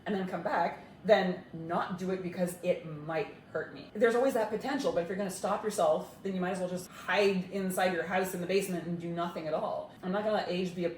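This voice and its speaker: A woman's voice: fairly low pitch, darkish tone. A woman in her late forties sharing anecdotes in casual conversation. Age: late 40s. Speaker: talk show participant, roller derby skater. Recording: roomy echo, faint background noise.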